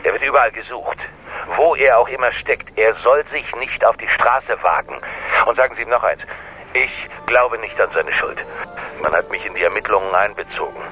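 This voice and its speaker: A man's voice: lowish voice, normal speed. A man playing a wary, polite police lieutenant colonel in a radio drama, hesitant and earnest, on the telephone. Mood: urgent, earnest. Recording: muffled phone line, faint noise.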